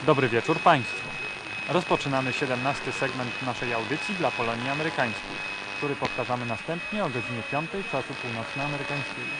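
A man playing an earnest radio announcer, reading the news in a formal, measured, serious manner. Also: medium pitch, natural voice. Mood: solemn, formal. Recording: very noisy, humming shortwave radio broadcast.

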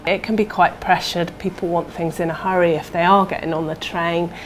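A woman's voice: lowish voice, steady tone, a little fast, slight background noise, low-quality recording. A British woman in her thirties speaking in an interview as a cafe manager, on a lapel mic indoors. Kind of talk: interview testimonial. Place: indoors in a small cafe room.

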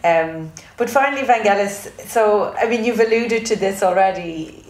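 A middle-aged woman asking interview questions in a measured way, with soft fillers. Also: medium pitch. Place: studio set, indoors. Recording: roomy echo.

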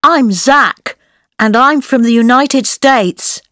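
A woman voicing children and reading prompts in a textbook recording for young learners, in a clear, careful way. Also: fairly high pitch, slow, fairly bright tone, no echo, clean studio sound. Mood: cheerful. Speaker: textbook audio voice actor. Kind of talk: slow scripted textbook dialogue for children.

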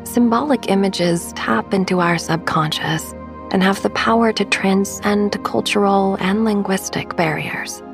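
A woman narrating a sleep meditation in a soft, breathy, gravelly voice with a soothing, unhurried delivery. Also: mid-range voice, music in the background. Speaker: podcast host and meditation guide.

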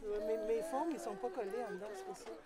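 Older woman, Quebec French accent, fast casual demonstration to children, heavy crowd noise. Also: a little high, big pitch swings. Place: outdoor craft stall at a farm. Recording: busy outdoor crowd noise.

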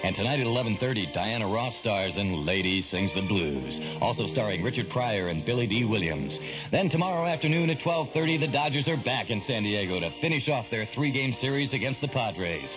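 A man's voice: lowish voice, animated, fairly quick, low-quality recording. A man reading a scripted television promo as a radio voice-over announcer. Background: music.

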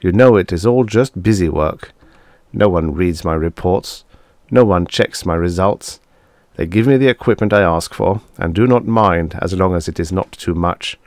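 A man voicing a grieving older male professor who speaks quietly, gently and dully. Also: low voice, moderate pace, no room sound, studio quality. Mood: weary.

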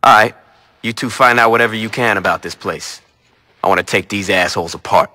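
A man's voice: fairly low pitch, a little fast, bright, forceful tone. A man playing a cocky, foul-mouthed, vengeful street gang boss in a video game, with a blunt, terse delivery. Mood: commanding. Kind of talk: game cutscene acting.

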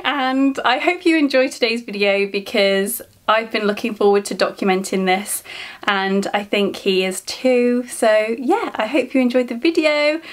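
A woman in her thirties with an English accent, chatting casually and enthusiastically as she vlogs. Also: fairly high pitch. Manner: enthusiastic, gushing. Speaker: vlogger. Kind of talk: casual vlog tour, chatty. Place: home room, car, brick barn and yard. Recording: echoey rooms, noticeable background noise.

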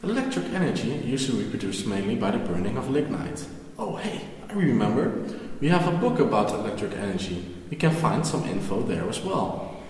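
Man playing a lively, echoey questioning boy. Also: mid-range voice, a little fast, slight background noise, close to an everyday voice. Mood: helpful. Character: questioning boy, a brother in an educational cartoon. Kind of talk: scripted dialogue in an educational animation. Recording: very echoey room, long reverb.

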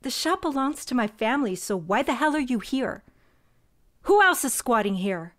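An actress with a Mexican American accent playing a proud, vengeful young woman, intense and shifting between mutters and shouts. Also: sits high, sing-song, moderate pace. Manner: intense, swinging from muttering to yelling. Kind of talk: audio drama acting.

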